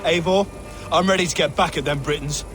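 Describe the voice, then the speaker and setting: A man's voice: a little high, steady tone, fairly quick, close to an everyday voice. Man playing a scrappy eager warrior over music. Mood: eager, determined. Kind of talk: video game dramatic acting. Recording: loud music behind the voice.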